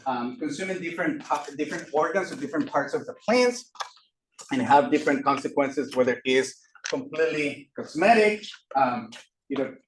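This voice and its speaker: A man from Costa Rica giving a classroom lecture as a professor, halting with many fillers and restarts. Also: fairly high pitch, expressive, slight background noise. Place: lecture room. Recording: echoey room from far off.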